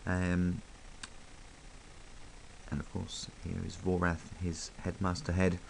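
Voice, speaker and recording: A man talks through a toy review in a very low voice, croaky and gravelly from hay fever. He rambles casually, stopping for ums and small asides as he handles the piece, with a faint hiss of noise behind him. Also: monotone, slow, rough recording. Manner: chatty, rambling, full of ums.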